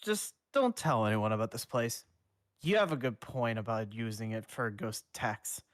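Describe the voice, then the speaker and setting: A man's voice: medium pitch, normal speed, no echo, natural voice. A man voicing a blunt, wry teenage ghost boy, dry and offhand, in a fan reading.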